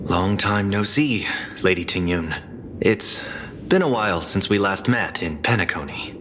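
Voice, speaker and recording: Smooth, deep-voiced companion. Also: male voice, a little slow, noisy background. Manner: smooth, coaxing. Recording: game audio with music. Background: game music.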